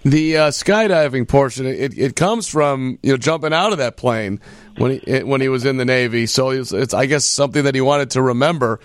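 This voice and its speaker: A man's voice: medium pitch, a little fast, no echo. A man hosting a radio show, interviewing a guest in a conversational style.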